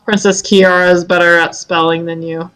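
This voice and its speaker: A woman's voice: on the low side, little pitch movement, normal speed, a bit bright, smooth. A woman co-hosting a casual comedy chat, reading out fan posts and mocking them. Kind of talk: casual comedic banter, mocking read-outs.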